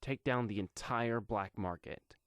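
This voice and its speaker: Man as low-voiced, resolute young vigilante. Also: measured pace, clean tone, dry recording, studio quality, lightly acted. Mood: determined.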